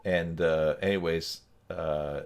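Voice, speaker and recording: A man's voice: a bass voice, fairly even intonation, slow, smooth. A man hosting an informal live group video chat, talking in a casual, rambling way. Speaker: live stream host.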